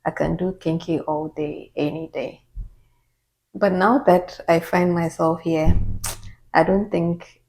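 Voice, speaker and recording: Low-voiced Ghanaian woman vlogging. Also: a little mellow, rough recording. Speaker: YouTuber. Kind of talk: casual get-to-know-me Q&A.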